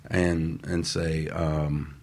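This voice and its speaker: A man's voice: extremely low pitch, little pitch movement, drawn-out words, darkish tone. A man talking in a casual motivational style as a radio co-host, making emphatic points in short repeated phrases.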